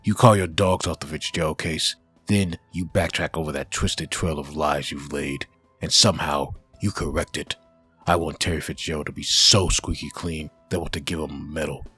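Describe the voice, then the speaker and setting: An undead hellspawn antihero speaks in an extremely deep, husky growl. He is menacing and commanding, as in a comic book audio drama, with light music underneath. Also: fairly even intonation, moderate pace, a bit bright, heavily acted. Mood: threatening, commanding.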